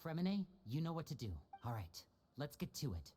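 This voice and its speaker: A composed young man from a video game, earnest and assured, with lively intonation. He sounds like a loyal leader laying things out in a measured way, with faint noise behind him. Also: fairly high pitch. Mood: decisive. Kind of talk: video game character dialogue.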